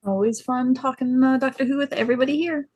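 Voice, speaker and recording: A woman's voice: sits high, fairly even intonation, quick pace, darkish tone, no room sound. Scattered, self-deprecating woman on a podcast. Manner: scattered, self-deprecating, stumbles over words. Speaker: podcast panellist. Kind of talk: casual chat.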